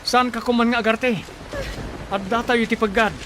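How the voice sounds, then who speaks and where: Urgent, high-pitched protector. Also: male voice, steady tone, moderate pace. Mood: urgent, protective. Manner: urgent, instructing. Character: urgent, protective man leading companions through a typhoon. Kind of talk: Philippine radio drama acting. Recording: clearly noisy, storm sound effects.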